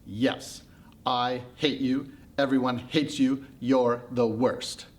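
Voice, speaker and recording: A man voicing a curious, stubborn, blunt woman from a myth, with drawn-out, deliberate, insistent phrasing. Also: mid-range voice, lively intonation, natural voice. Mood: emphatic.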